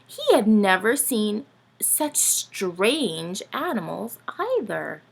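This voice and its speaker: Slow, lively woman reading a storybook, husky. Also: mid-range voice. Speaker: children's story reader. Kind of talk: expressive read-aloud of a picture book.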